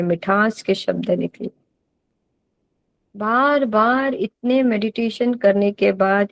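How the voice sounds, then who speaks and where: A woman with an Indian accent leads a guided meditation as a teacher, giving deliberate instructions and repeating phrases for emphasis. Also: mid-range voice, no echo, low-quality recording.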